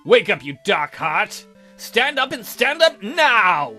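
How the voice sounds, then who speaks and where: Guy doing a furious masked gunman in a game, high bright voice, crude threats, music under. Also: sing-song, takes it slowly, exaggerated voice. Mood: furious, commanding. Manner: forceful, shouted threats, crude.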